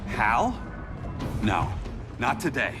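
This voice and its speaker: A man's voice: medium pitch, wildly swooping pitch, extremely slow, music in the background, full character voice. An actor playing a wry, reflective male speedster hero in a video game cutscene. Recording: game cutscene with loud score.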